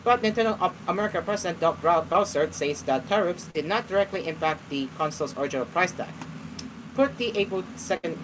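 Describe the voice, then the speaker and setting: Man, 20s, casual show host reading and commenting live, halting delivery, noisy recording. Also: high-pitched, lively intonation. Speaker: host of an online talk show.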